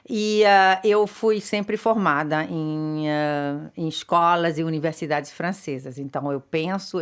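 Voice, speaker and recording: A woman with a notably low voice answers questions in a studio. Her delivery is thoughtful and hesitant, with frequent fillers and restarts. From Brazil. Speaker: interview guest, chamber of commerce president. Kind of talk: interview answers. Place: radio studio.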